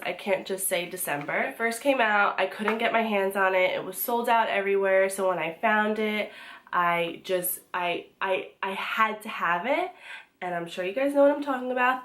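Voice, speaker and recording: Young woman, slightly hoarse, casual beauty vlogger, chatty, lots of ums. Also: mid-range voice, a bit bright. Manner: chatty, with frequent "um" and restarts. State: hoarse from being sick. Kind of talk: casual product review to camera.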